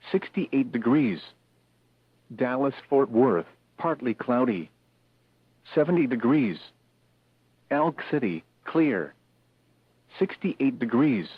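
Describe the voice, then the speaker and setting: Slow synthetic male voice on tinny radio. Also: medium pitch, breathy edge, no echo. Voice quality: synthetic text-to-speech voice. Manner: measured, clipped, impersonal readout of short phrases. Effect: radio broadcast. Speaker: automated weather radio announcer. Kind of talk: scripted weather bulletin readout. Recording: tinny, narrowband weather radio broadcast.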